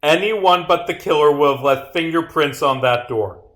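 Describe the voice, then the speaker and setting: A man playing a stern detective in a visual novel, deliberate and emphatic as he accuses. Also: fairly high pitch, fairly bright tone, close to an everyday voice. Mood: accusing.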